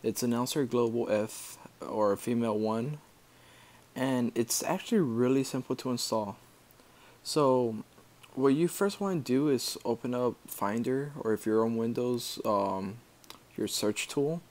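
A man narrates a screen-recorded how-to in a casual, unpolished way. His voice sits at an ordinary middle pitch. He explains things step by step, halting now and then as he finds his words. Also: darkish tone. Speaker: tutorial maker.

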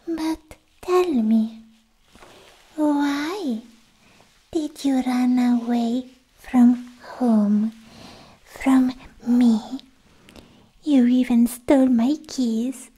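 A young woman playing a sweetly possessive, obsessed alien girlfriend in a whispered, coaxing ASMR roleplay, speaking close to the mic in halting phrases. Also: high-pitched, warm tone, full character voice, poor audio. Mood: mildly reproachful. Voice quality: breathy, mostly whispered. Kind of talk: soft-spoken ASMR roleplay. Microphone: close ASMR microphone.

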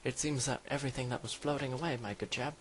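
A man plays a pompous old-fashioned gentleman explorer with a put-on British accent. He is formal and polite, easily flustered, and repeats his phrases as he comments on a video game. There is light noise and keyboard clatter on the recording. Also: medium pitch, steady tone, fast talker, lightly acted. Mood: pompous, genteel.

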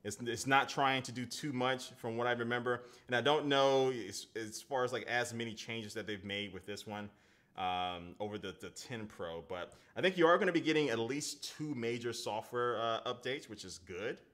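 A man's voice: medium pitch, normal speed, fairly bright tone. A man in his thirties hosting a casual live-stream phone unboxing, chatting loosely with viewers and reading their comments aloud. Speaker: tech reviewer. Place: indoor home studio at a desk.

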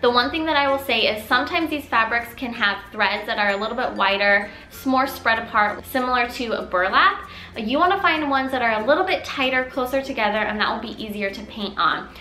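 A woman's voice: on the high side, animated, a little fast, pushed and bright, roomy sound, faint music behind. Upbeat young woman, slightly scratchy voice. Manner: upbeat, chatty, encouraging. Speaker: mom vlogger. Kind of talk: casual DIY tutorial. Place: living room at home.

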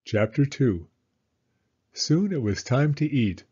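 A man narrating a children's story as a storyteller, in a measured, expressive manner. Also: medium pitch, husky, lo-fi sound.

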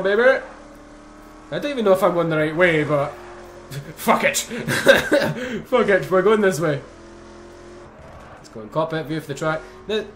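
Excitable young gamer guy. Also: a little high. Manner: chatty, excitable, swears and laughs freely. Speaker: gaming YouTuber. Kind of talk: casual gameplay commentary. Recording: faint game engine noise behind.

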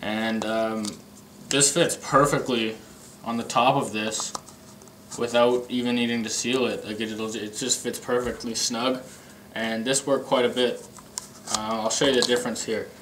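A man's voice: mid-range voice, little pitch movement, a little slow. A young man giving a casual hands-on show-and-tell in loose, informal, slightly hesitant phrasing, picked up by a camera microphone indoors. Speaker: hobbyist demonstrating a homemade gadget. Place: indoor room with a pool table. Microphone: camera mic an arm's length or more away. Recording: noisy amateur camera microphone.

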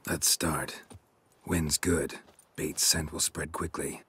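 An actor playing a hardened, laconic monster hunter in a video game, terse and deadpan with a gruff, husky voice. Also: male voice, rumbling low, slow, exaggerated voice. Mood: dry, terse. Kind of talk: video game dialogue acting.

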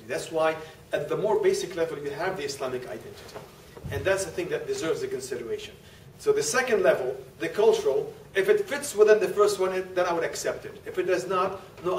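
A man's voice: on the high side, a little hiss or hum. A man in his forties giving a conversational classroom lecture while standing and gesturing, laughing easily. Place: classroom with display boards. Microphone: far camera. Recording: echoey room, distant camera.

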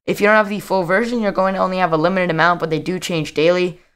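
A young man narrating a casual software tutorial as the host of a video. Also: high-pitched, steady tone, fast talker, smooth.

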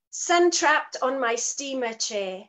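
A woman in her forties with a Welsh accent, a guest poet reading her work and chatting softly on a video call from a room at home. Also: high voice. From Wales. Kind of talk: poetry reading and chat.

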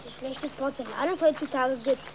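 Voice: sits high, moderate pace, background noise. A young performer playing a cheeky, clever farm boy in a German-dubbed children's film, earnest and matter-of-fact. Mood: sheepish, defiant.

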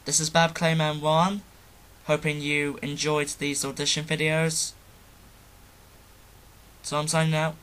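A man's voice: on the high side, completely flat delivery, a little slow, long pauses, a bit bright, clean tone, poor audio. A man introducing and signing off his amateur voice audition.

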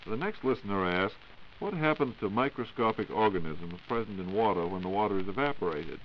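An American man hosting a scripted 1940s radio question-and-answer show in a formal, measured announcer's delivery. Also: fairly low pitch, lively intonation. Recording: dull, noisy 1940s radio recording.

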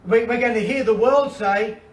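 Australian pastor preaching from afar. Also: male voice, very high-pitched, normal speed. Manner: animated preaching with repetitions and restarts. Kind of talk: sermon. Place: covered outdoor deck. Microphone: far camera. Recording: roomy and distant with faint ambience.

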